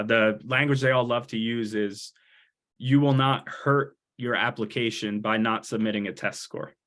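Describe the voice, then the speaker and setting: A man's voice: mid-range voice, a bit bright. Man, webinar presenter, earnest, explanatory. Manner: earnest, explains with examples and analogies. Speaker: test prep presenter.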